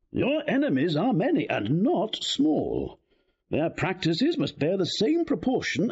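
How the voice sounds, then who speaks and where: Husky, commanding king, wildly expressive. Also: fairly high pitch, full character voice. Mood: stern warning. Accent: British. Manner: theatrical, emphatic. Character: hearty, commanding, volatile king in his prime. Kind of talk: Shakespearean radio drama acting. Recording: slightly dull audio.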